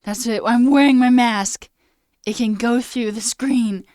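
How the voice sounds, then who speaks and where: A woman's voice: fairly high pitch, unhurried, darkish tone, dry recording, clean studio sound. A young woman with a slight sore throat, casually chatting on a live coding stream, reading chat aloud and muttering at her code. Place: small room indoors.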